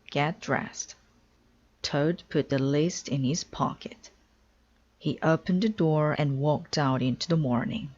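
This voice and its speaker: A woman's voice: deep voice, lo-fi sound. A woman narrating a children's storybook aloud in a careful, simple storytelling style. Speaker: storybook reader.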